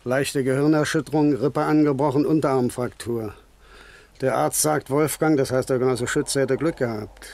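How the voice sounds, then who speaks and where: A man's voice: mid-range voice, fairly even intonation, moderate pace, vocal fry, darkish tone, no echo, close to an everyday voice. An actor playing a dutiful, earnest village policeman in a German radio crime drama. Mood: reporting. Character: dutiful village policeman, concerned for injured colleague.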